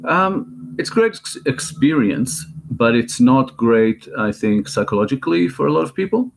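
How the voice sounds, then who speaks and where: A man in his thirties with an Israeli accent, a filmmaker talking as a guest in an interview, thoughtful and halting with many restarts. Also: mid-range voice, lively intonation, vocal fry, rough recording. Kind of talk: interview conversation.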